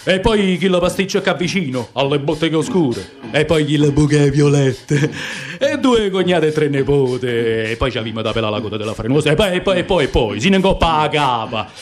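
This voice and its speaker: A man voicing a harried middle-aged police chief with a Neapolitan lilt, complaining in a rambling, weary way. He sounds like a radio-play boss who is fed up with too many cases. The voice is high and moves a lot. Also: expressive, normal speed, few pauses, lightly acted.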